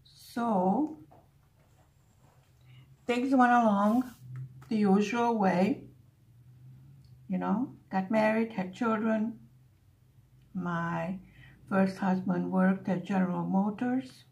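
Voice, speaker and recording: A woman in her seventies whose first language is Hungarian speaks at a slow, unhurried pace. Her voice sits at an average pitch for a woman. She reminisces casually, pausing between phrases, in the manner of a relaxed craft vlog. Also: vocal fry, poor audio. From born in Hungary, grew up in the USA. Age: 70s. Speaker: craft vlogger.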